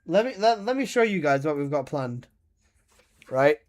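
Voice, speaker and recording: A man in his early twenties chats live with his stream audience while he plays. His talk is casual, teasing and full of swearing and quick laughs. His voice sits slightly on the high side for a man. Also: sing-song. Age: 20s. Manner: joking, swearing banter with frequent laughs. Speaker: game streamer.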